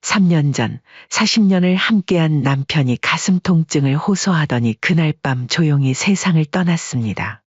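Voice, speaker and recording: Calm, husky male storyteller. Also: on the high side, normal speed, few pauses, dry recording, muffled recording. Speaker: storyteller narrator. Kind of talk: scripted audiobook narration.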